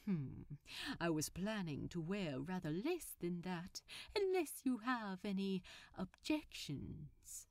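A woman's voice: on the low side, sing-song, slow, a little mellow, no room sound, heavily acted. A woman reading a storybook role as a polite, coy male supervillain who is affectionately smitten. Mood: flirty.

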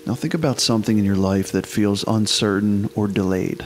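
A man leading a guided meditation, giving slow, unhurried instructions with long pauses. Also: lowish voice, darkish tone, soft background music.

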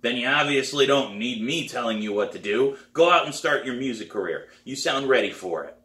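A man's voice: medium pitch, animated, a little fast, a bit bright, voice pushed up, close to an everyday voice. A man voicing a crabby, skeptical middle-aged drum teacher in a storybook reading, dry and dismissive. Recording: roomy, echoey living room.

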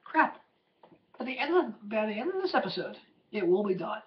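A hoarse man hosting his podcast from a room at home, talking in a loose, chatty way full of fillers and plugs. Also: high-pitched, sing-song, moderate pace, lo-fi sound. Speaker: podcast host. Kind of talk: casual rambling podcast monologue.

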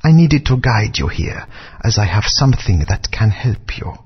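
An actor playing a solemn ancient alien guardian spirit in a video game, speaking in slow, grave, whispery pronouncements. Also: male voice, fairly low pitch, big pitch swings, full character voice. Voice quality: husky, whispery. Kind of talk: game voice acting. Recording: dull lo-fi game audio.

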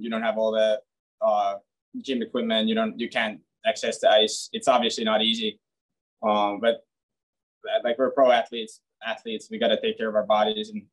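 Young male pro player, low-pitched, blunt and plain, restarts often; post-game webcam presser. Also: rough recording. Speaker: hockey player. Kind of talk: post-game media interview. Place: team media room, press backdrop, indoors.

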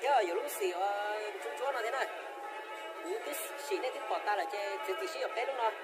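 A man's voice: sits high, quick pace, loud music behind the voice, a loud crowd. A man in his thirties vlogging while shopping in a clothing store, chatting casually with many fillers.

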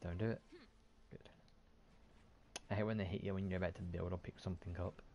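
A man's voice: low voice, flat delivery, fairly quick, dark tone, muffled recording, a little hiss or hum. A man giving casual, offhand gameplay commentary, muttering and mildly swearing to himself. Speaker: gaming YouTuber.

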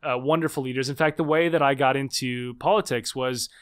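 A man in his thirties, a guest author in a conversational interview, explaining in quick, animated bursts with frequent restarts. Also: medium pitch, clear, resonant tone. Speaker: guest, political scientist and author.

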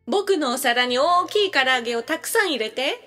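An eager, food-loving little boy, voiced by a woman, pleads in a very high voice. The delivery is childlike and scripted like an audio drama, with soft music behind it. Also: expressive, moderate pace, long reverb tail. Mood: eager, pleading. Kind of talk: scripted language-lesson dialogue.

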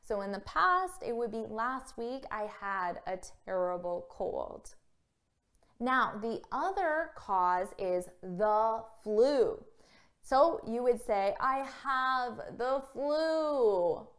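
A woman in her thirties giving a scripted English vocabulary lesson to camera, speaking clearly and carefully and acting out example phrases. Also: a little high, lively intonation, slow pace. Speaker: English teacher. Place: small indoor room against a plain wall. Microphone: camera framed at chest height.

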